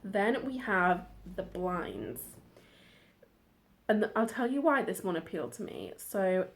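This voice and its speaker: A woman's voice: medium pitch, expressive, slow pace. Chatty British woman showing her book finds. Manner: chatty, rambling, with frequent side notes and fillers. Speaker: book vlogger. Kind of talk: casual chat.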